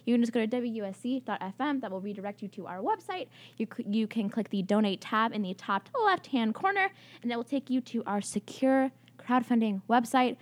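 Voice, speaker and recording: A woman's voice: fairly high pitch, expressive, fairly quick, hardly any pauses. A young woman co-hosting college radio, giving a station plug in a joking, rambling, self-mocking way. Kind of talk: station announcement and casual banter. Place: radio studio.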